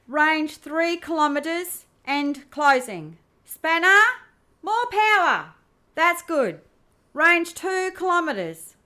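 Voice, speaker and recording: A woman's voice: very high voice, bright, forceful tone, heavily acted. A woman playing a keen, focused female spaceship navigator in an amateur radio play. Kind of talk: radio drama acting.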